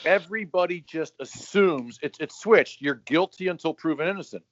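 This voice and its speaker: Animated middle-aged man telling stories. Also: on the high side, moderate pace, no echo. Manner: animated storyteller, joking. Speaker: podcast co-host. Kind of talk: casual chat. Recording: dry, slightly dull sound.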